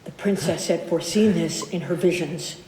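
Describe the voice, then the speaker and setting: A woman playing a solemn, commanding guardian of a princess in a fantasy audio drama, speaking in measured, formal lines. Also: fairly low pitch, dark tone, lightly acted, poor audio. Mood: grave. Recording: music under the voice.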